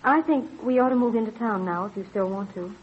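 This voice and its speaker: Actress as raspy, homely colonial wife. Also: female voice, mid-range voice, a little fast, natural voice. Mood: fond. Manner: homely, dryly teasing. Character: practical, skeptical, affectionate colonial inventor's wife. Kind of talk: old-time radio drama acting. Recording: thin, phone-like vintage radio recording.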